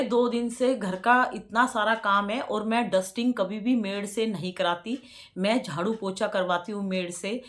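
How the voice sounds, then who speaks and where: A woman in her forties talks straight to her subscribers in a loose, chatty way. She leans into repeated words to drive her point home and slips into a preachy tone. Her voice sits at a medium pitch. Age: 40s. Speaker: vlogger. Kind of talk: casual commentary to subscribers.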